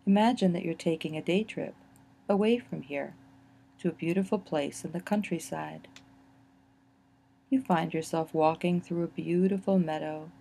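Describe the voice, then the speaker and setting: A woman narrating a guided relaxation exercise in a gentle, soothing manner, with unhurried phrasing and pauses. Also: fairly low pitch, gravelly.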